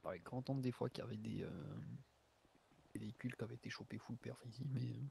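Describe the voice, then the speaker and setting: A man's voice: mid-range voice, fast talker, creaky, warm tone, a little hiss or hum. A man chatting casually while playing a role-play game with others. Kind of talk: casual chat.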